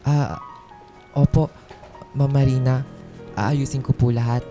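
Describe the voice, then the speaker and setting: A man's voice: mid-range voice, flat delivery, takes it slowly, mellow, soft background music, natural voice. An actor playing a timid, weary male office employee in a radio drama, hesitant and resigned. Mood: meek, nervous.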